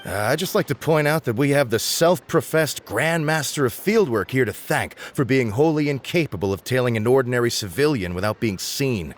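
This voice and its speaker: A man's voice: mid-range voice, lively intonation, normal speed, hardly any pauses, slight background noise, natural voice. A man playing a snide, wordy information broker in a video game, defensive and sarcastic.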